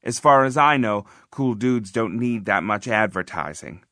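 A man reading a first-person children's novel as an audiobook narrator, expressive, confiding and conversational, with emphatic phrasing. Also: lowish voice, unhurried, dry recording, clean studio sound.

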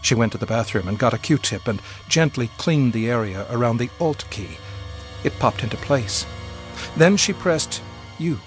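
A man's voice: mid-range voice, lively intonation, normal speed. Male radio narrator over music. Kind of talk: scripted narration, reading a story aloud.

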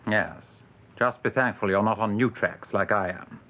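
A man's voice: fairly low pitch, moderate pace, pushed and bright. An actor playing a world-weary, sardonic English advertising man with a dry, mock-pompous manner in a radio drama. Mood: brisk, jaded. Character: world-weary, sardonic male advertising copywriter showing newcomer around.

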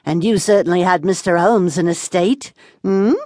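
A woman's voice: lowish voice, moderate pace, a little mellow, dry recording, studio quality, natural voice. A woman playing a chatty, meddling older British housekeeper, gossipy and insinuating, in a comic radio drama. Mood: gossipy delight. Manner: gossipy, insinuating, tacks "hmm?" onto remarks.

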